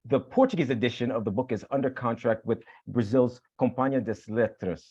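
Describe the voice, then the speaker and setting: A man's voice: medium pitch, a little fast, mellow, breathy edge, lo-fi sound. A man hosting an academic talk and reading out a guest's credentials in a formal introduction. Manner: listing credentials in a formal cadence. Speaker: host.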